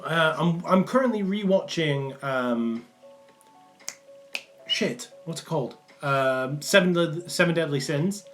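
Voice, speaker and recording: A man's voice: a little high, expressive, takes it slowly, a bit bright, soft background music. A young man from the Manchester area with a Mancunian accent that comes and goes, chatting loosely on a live stream with many ums and restarts. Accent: Mancunian, partly worn off. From England, Manchester area. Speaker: livestream host answering chat. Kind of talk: casual live stream chat. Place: small room indoors.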